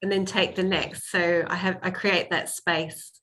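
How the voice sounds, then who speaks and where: A woman's voice: on the low side, level tone, unhurried, a bit bright, low-quality recording. A woman student chatting in a reassuring way during an online class video call.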